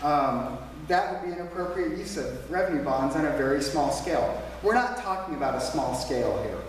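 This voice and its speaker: Man, debate speaker, projects a big voice, fairly high-pitched, echoey hall, heard from far off. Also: expressive, moderate pace, a little hiss or hum. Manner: emphatic, plain-spoken, repeats slogans. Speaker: debate speaker for a taxpayers' group. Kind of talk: public debate speech. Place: small church meeting room.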